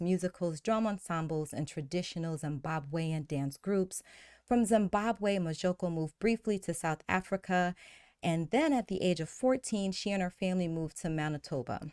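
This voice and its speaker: A woman hosting a live-streamed podcast, warmly introducing a guest and reading her bio with enthusiasm. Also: lowish voice, clean tone, no echo, clean studio sound. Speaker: podcast host.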